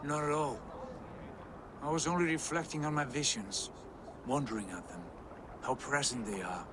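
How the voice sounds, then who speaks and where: An actor playing a proud, bitter Viking prince with visions of greatness, haughty and declamatory in a dramatic video game. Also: male voice, medium pitch, normal speed, noisy background, natural voice. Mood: awed, reflective. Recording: music behind the voice.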